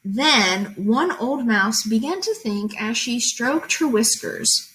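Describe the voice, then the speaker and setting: Bright-voiced woman teaching casually. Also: fairly high pitch, lively intonation, takes it slowly, a little hiss or hum, low-quality recording. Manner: informal, conversational, asks guiding questions. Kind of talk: casual lesson, reading fables aloud and explaining them.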